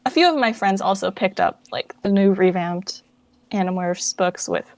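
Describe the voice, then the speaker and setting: A woman's voice: medium pitch, moderate pace, darkish tone, no echo. A young woman in her late teens chatting casually and informally as a guest in an interview. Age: late teens, young adult. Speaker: interview guest, fan project founder.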